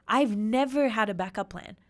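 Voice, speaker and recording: A woman in her thirties from Australia, a podcast guest telling her personal story candidly, with emphatic, animated retellings. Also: a little high, normal speed. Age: 30s.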